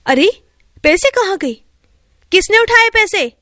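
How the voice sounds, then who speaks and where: A cruel, greedy woman teacher acted out by a female storyteller bosses and threatens in a high voice. Her pitch moves in lively swings, and her words come at a measured pace, as in a children's moral tale. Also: dry recording, clean studio sound, exaggerated voice. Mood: angry. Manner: bossy, threatening.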